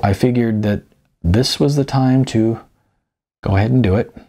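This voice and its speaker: A man reading his own first-person novel aloud as an expressive, wry, conversational narrator. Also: mid-range voice, moderate pace. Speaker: author narrating own audiobook.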